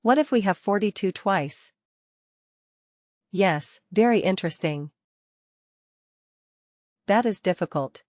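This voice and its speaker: A woman working as a software trainer, teaching interactively step by step, repeating key points and posing questions to learners. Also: medium pitch, expressive, slow pace, long pauses, no room sound, clean studio sound.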